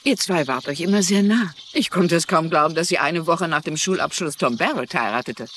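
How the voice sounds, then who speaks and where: Eine Schauspielerin spielt in einem synchronisierten TV-Drama eine gefasste, wissbegierige ältere Frau, die bedacht und deutlich spricht. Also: female voice, low-pitched, expressive, brisk, fairly bright tone, a little hiss or hum. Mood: curious. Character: composed, inquisitive older woman, a former teacher turned sleuth. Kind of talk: dubbed TV drama dialogue in German.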